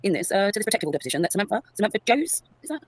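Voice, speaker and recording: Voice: mid-range voice, very animated, rapid-fire, no echo. A performer reading the part of a court judge in an amateur reenactment, hesitant and full of ums. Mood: fussy. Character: fussy, rambling court judge.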